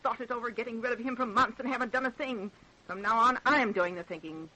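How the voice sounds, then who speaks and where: A scheming, impatient wife in an old radio melodrama. Her voice is high and piercing, and she presses her case with scornful insistence. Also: quick pace, bright, forceful tone, low-quality recording. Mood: scornful, pushing. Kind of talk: old-time radio drama acting.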